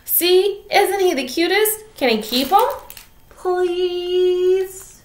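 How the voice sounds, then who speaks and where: A woman voicing an excited young girl bear who pleads with her mom. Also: extremely high pitch, extremely slow, roomy sound, a little hiss or hum, voice pushed up, heavily acted. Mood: pleading. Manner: eager and pleading.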